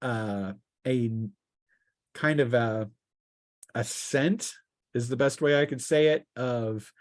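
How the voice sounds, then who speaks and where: Male game master, medium pitch, improvised tabletop narration, halting delivery with frequent restarts. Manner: halting, restarting descriptions mid-sentence.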